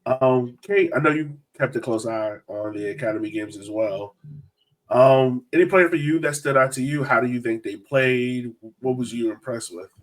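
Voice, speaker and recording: A man's voice: medium pitch, normal speed. A man hosting a casual sports chat on a podcast. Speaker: podcast host. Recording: voice distant from the mic.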